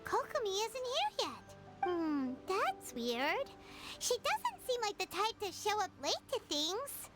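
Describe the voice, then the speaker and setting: A woman voicing a small, childlike, cheerful companion girl in a video game, chatty and excitable. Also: very high-pitched, slow pace, full character voice. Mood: puzzled. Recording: game music behind the voice.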